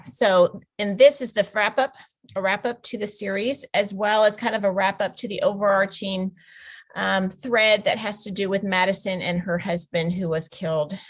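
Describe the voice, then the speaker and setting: An American woman from the Pacific Northwest, chatting casually as a novelist guest in an interview. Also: mid-range voice.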